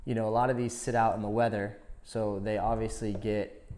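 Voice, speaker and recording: A man's voice: fairly low pitch, flat delivery, brisk, darkish tone. A man demonstrating a product in a conversational, step-by-step instructional style. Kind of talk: hands-on instructional explanation.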